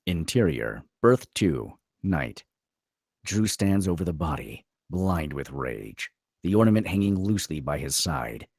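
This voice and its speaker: A man's voice: very deep voice, big pitch swings, a little slow, darkish tone, breathy edge, no echo, studio quality. A man reading screenplay stage directions as dramatic scripted narration.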